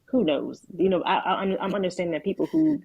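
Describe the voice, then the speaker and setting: A woman with a deep voice telling her life story in a candid, reflective conversation, with halting restarts and filler words. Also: very animated, creaky, husky, no echo, highs cut off. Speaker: guest sharing her testimony.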